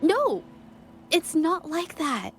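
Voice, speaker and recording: Bashful young artist for a game: high, unhurried voice, earnest, nervous, halting. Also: female voice, sing-song, full character voice. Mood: flustered. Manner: hesitant, stammering, polite. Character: shy, nervous, earnest young female painter. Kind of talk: video game voice acting. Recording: music under the voice.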